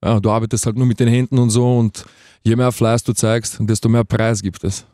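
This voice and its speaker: Mellow young man, close dry sound. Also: medium pitch, little pitch movement, brisk, dry recording. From Vienna, Austria. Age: 20s. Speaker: guest rapper being interviewed. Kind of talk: casual radio interview chat.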